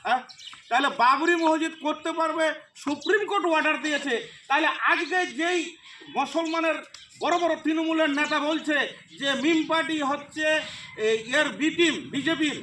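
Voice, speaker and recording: Very high-pitched, bright man, argumentative. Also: fairly even intonation, normal speed. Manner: argumentative, emphatic, peppered with rhetorical questions. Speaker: local political party activist. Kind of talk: impassioned political talk. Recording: distant mic, faint ambient noise.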